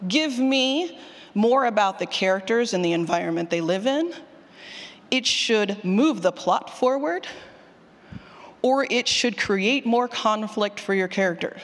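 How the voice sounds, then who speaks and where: Animated woman presenting in an echoing hall. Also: medium pitch. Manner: animated, colloquial, emphatic, mildly sweary, puts questions to the room. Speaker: speaker leading a workshop on audio drama writing. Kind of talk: informal conference talk to an audience. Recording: long hall reverb from a large room.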